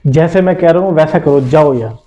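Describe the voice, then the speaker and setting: A man's voice: on the high side, dark tone, a little hiss or hum, natural voice. A man acting as a doubting, self-serving grown son in a moral drama. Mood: alarmed, scheming. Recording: dull lo-fi sound, faint music.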